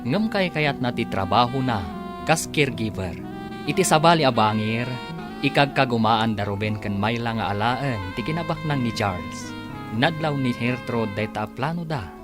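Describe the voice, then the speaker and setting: A male announcer with an Ilocano Filipino accent narrates a radio serial dramatically. His pitch moves widely, and music plays prominently behind him. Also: expressive, normal speed.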